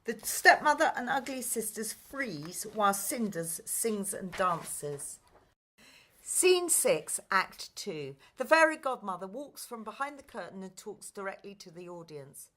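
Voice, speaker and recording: A woman's voice: mid-range voice, big pitch swings, unhurried, a bit bright. A woman reading scripted narration and stage directions for a pantomime radio play.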